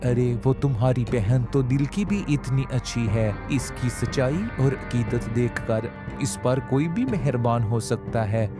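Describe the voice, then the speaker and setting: Cartoon husband, male actor: earnest, reasoning, reproving, mid-pitched, over a music bed. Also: unhurried, lightly acted. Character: earnest husband, admonishing and sensible. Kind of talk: Hindi cartoon story acting. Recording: music behind the voice.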